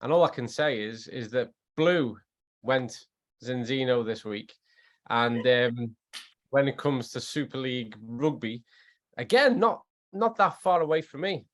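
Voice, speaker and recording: A man with a Liverpool accent chatting casually as an expert guest on an online call, in an effusive, animated way. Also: medium pitch, measured pace. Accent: Liverpool English. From Liverpool, England. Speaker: guest scientist and former athletes' trainer.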